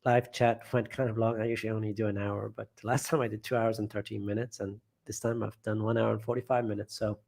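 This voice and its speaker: A man's voice: on the low side, level tone, quick pace, gravelly, few pauses, darkish tone. A man hosting a casual live stream from home, reading viewer comments aloud and rambling, often trailing off and restarting.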